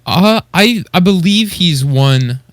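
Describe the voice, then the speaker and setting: A man's voice: sits high, animated, takes it slowly, no room sound, clean studio sound. A man co-hosting a podcast, chatting casually and giving informal, appreciative opinions. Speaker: podcast co-host.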